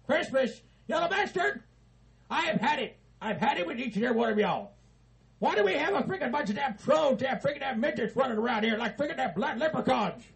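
A man playing a cranky, foul-mouthed old hillbilly who yells and laughs as he rants. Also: extremely high pitch, animated, a little fast, gravelly, heavily acted, rough recording. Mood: ranting. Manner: foul-mouthed, yelling, laughing while ranting.

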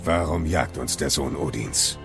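An actor playing a stern, brooding warrior god and father in an audio drama, terse and commanding with a gravelly voice. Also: very deep voice, unhurried, faint music behind, heavily acted. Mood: stern, guarded.

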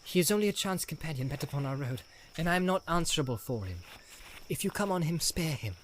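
A guy doing a soft earnest young hobbit, pitched way up from his normal voice and kept quiet, formal and gentle, like a storybook reading. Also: lively intonation, quick pace, a little hiss or hum, close to an everyday voice, rough recording. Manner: soft, earnest storytelling voice raised higher than the narrator.

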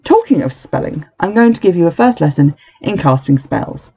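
A reader voicing a mysterious young woman teacher, quiet but firm and brisk, in a children's storybook. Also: medium pitch, big pitch swings, vocal fry, breathy edge, full character voice, low-quality recording. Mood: brisk, authoritative.

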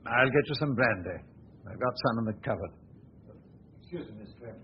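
An actor playing a proper, flustered official in a 1940s radio drama. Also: male voice, mid-range voice, animated, normal speed, lightly acted. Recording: muffled old radio recording with audible hiss.

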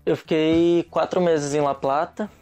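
A young man with a mellow, slightly raised voice tells his stories at an easy pace. He talks loosely and in slang, the way people do with a friend. Faint music plays behind him. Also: fairly high pitch, steady tone, measured pace, clean tone. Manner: easygoing storyteller, slangy, laughs often. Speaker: guest. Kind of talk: casual chat.

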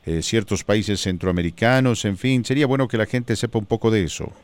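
A man's voice: lowish voice, brisk, clear, resonant tone, no echo. A man hosting a Spanish-language radio interview in a studio, asking questions with many "eh" fillers. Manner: lively questioning with frequent fillers. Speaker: radio show host. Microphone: studio microphone.

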